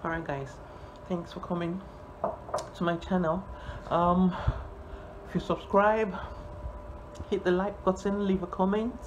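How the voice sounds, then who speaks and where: Woman, 30s, deep-pitched, casual vlogger with halting delivery; handheld phone sound with clear background noise. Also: fairly even intonation, lo-fi sound. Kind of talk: casual vlog chat. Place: small indoor rooms at home, kitchen. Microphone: phone at arm's length. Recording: noisy handheld phone recording with room ambience.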